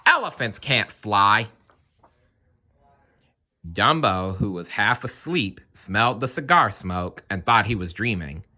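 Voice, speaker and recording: A man narrating a children's story aloud in an expressive storytelling style. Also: lowish voice, measured pace, few pauses. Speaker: story reader.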